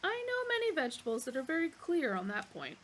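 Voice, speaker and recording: A cheerful, courteous creature pitches its own cuts of meat with a waiter's eager charm, voiced by a woman. The voice swoops up and down with animated lilts. Every offer sounds gracious and contented. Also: fast talker, a little hiss or hum. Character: polite, eager male cow-like beast offering itself as food.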